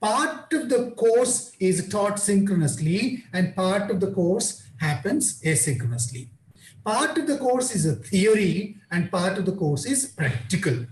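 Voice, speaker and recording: A man's voice: high-pitched, big pitch swings, measured pace. Indian man lecturing in an echoey room. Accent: Indian English. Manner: explanatory, repeats parallel phrases. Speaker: guest lecturer. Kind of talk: answering questions at an online conference.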